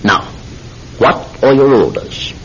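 An actor playing a sinister, controlled hypnotist posing as a polite tobacconist, with deliberate, theatrical delivery in an old-time radio drama. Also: male voice, mid-range voice, lively intonation. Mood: controlled, satisfied. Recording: muffled, hissy old radio recording.

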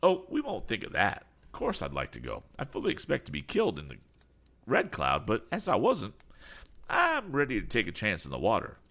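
A man voicing an excitable, fussy, eccentric older gentleman in a storybook, chattering nervously with quaint exclamations. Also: lowish voice, brisk, creaky, pitched above the performer's own voice, heavily acted. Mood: eager, cheerful.

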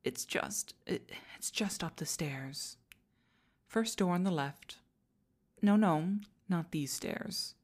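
A haughty demon queen, acted by a woman, with a low voice and slow, measured delivery. She talks to the listener in pompous commands in an intimate ASMR roleplay, proud but easily flustered. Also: animated. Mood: commanding, proud.

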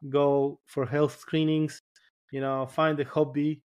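A man's voice: on the high side, little pitch movement, slow, a little mellow, clean tone. A man with a Polish accent, a firefighter guest on a podcast, speaking thoughtfully and often repeating words as he searches for phrasing. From Poland. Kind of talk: casual podcast interview.

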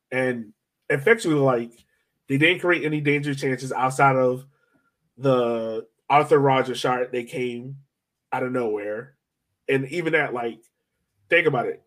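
An American man with a middle-of-the-road pitch chats as a podcast co-host. He analyses sport informally, using slang and an easy conversational delivery. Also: fairly bright tone. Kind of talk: casual sports analysis chat.

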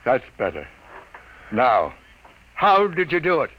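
An actor playing a brisk, suspicious boss with a blunt, slangy, commanding manner in a vintage radio drama. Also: male voice, mid-range voice, huge pitch swings, dull audio, exaggerated voice. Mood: stern, threatening. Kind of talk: 1940s-style radio drama acting. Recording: noisy old radio broadcast.

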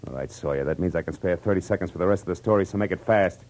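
A man's voice: sits low, rapid-fire, a little mellow, natural voice. A man playing a tough, wry American private detective in 1940s radio noir style, with clipped, slangy delivery. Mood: menacing. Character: hard-boiled male private detective, tough, wry, world-weary. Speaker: detective narrator and lead. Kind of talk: 1940s radio noir acting and first-person narration. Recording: noisy vintage radio recording.

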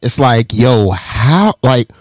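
A man from Las Vegas hosting a podcast, talking in a loose, animated way full of slang. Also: mid-range voice, slow pace, dry recording. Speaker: podcast host. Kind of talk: casual interview chat.

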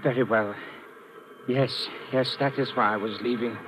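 Actor as a frightened, pleading old servant. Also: male voice, mid-range voice, fairly even intonation, measured pace, lightly acted. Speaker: radio drama actor. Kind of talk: 1950s radio drama acting. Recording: old radio broadcast with noise.

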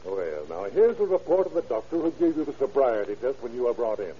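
A man's voice: medium pitch, very animated, a little fast, warm tone, close to an everyday voice. An actor playing a methodical police detective, dry and skeptical as he questions, in an old-time radio drama. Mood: skeptical, probing. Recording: muffled, noisy vintage radio recording.

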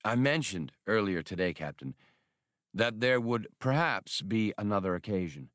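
A man's voice: on the low side, animated, moderate pace, a bit bright, dry recording, studio quality. A man voicing a suave, condescending gunman villain with polite, mocking formality, in an audiobook. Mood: coolly threatening.

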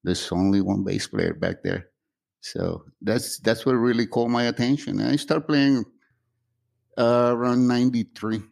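A man from Guatemala, a band's bass player, talking casually in an interview and laughing softly between remarks. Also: big pitch swings, normal speed, gravelly.

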